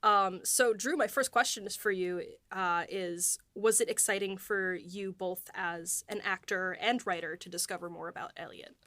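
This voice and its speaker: A woman's voice: medium pitch, steady tone, moderate pace, hardly any pauses, sharp tone, no room sound. A woman hosting a behind-the-scenes podcast in a loose, chatty way with plenty of fillers. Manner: chatty, many fillers and light laughs. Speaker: podcast host and writer. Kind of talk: casual podcast chat.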